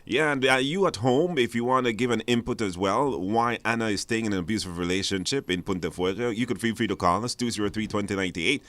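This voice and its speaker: A man's voice: fairly low pitch, fast, few pauses, a bit bright, dry recording. A man casually hosting a live radio call-in show, chatty and encouraging, speaking in a studio.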